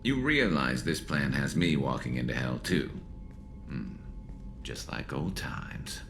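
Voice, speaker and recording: A man voicing a battle-scarred alien soldier in a video game, loyal and dryly wry, with a gravelly voice. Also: big pitch swings, takes it slowly, fairly bright tone, slight background noise, heavily acted. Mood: dry, steady. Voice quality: gravelly, very deep. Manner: dry, wry, clipped. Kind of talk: video game dramatic acting.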